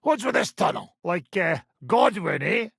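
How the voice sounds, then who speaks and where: A man voicing a gruff, sardonic middle-aged mercenary bandit in a video game, with a raspy, gravelly voice and a blunt, coarse, mocking manner. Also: high-pitched, sing-song, a little slow, fairly bright tone, no echo, clean studio sound, heavily acted. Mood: sardonic, scheming.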